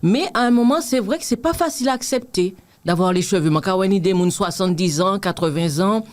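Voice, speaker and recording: A woman's voice: medium pitch, a little fast, a little mellow. Martinican woman in her fifties. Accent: Martinican French. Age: 50s. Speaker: guest. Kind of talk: casual interview chat.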